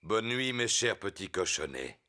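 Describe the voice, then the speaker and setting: A man's voice: on the low side, very animated, pushed and bright, heavily acted. A man voicing a big, jovial, affectionate daddy pig in a preschool cartoon, fatherly and drawn out. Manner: chuckling, drawn-out fatherly delivery.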